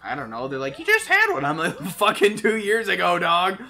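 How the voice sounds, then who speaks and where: A young man with a fairly high voice chats casually as co-host of a gameplay video. His talk is slangy and full of swearing, with faint music underneath. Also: huge pitch swings, moderate pace, bright, forceful tone. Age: young adult. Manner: profane, slangy.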